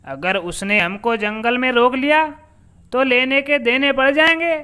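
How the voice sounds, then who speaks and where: A man voicing a clever, earnest male villager who pleads and persuades in an animated folk horror story. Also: very high voice, unhurried, clean tone, voice pushed up, exaggerated voice. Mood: worried, earnest.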